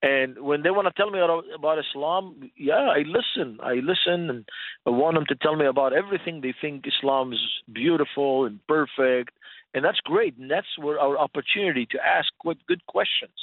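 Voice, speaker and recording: A man's voice: a little high, normal speed, dry recording. A man who speaks English as a second language, teaching conversationally as a radio guest and posing rhetorical questions. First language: not English. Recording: narrow, tinny phone-like line.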